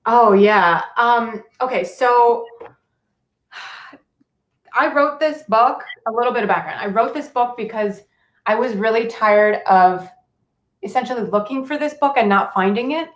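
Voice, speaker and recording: A woman's voice: fairly high pitch, a little slow. A woman whose first language is Cantonese, a career coach and author, talking casually in a podcast interview with many "like"s and "um"s. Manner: casual, frequent "like" and "um", laughs often. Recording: echoey room.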